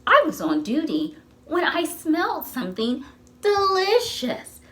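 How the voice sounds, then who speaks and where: A woman's voice: sits high, wildly swooping pitch, unhurried, fairly bright tone, voice pushed up, heavily acted. A woman voicing a friendly, hungry policewoman in a picture book, with a folksy, savouring delivery. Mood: tempted.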